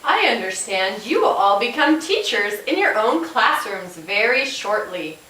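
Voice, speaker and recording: A woman's voice: mid-range voice, very animated, measured pace, pushed and bright, a little hiss or hum. A woman voicing a helpful police officer puppet, giving a scripted, instructive talk to a class. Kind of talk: scripted educational puppet show. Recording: roomy, distant microphone.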